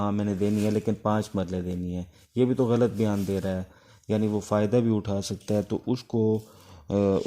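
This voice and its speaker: Low, mellow-voiced Pakistani man teaching. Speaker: law teacher. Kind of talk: informal exam-prep lecture in Urdu mixed with English legal terms.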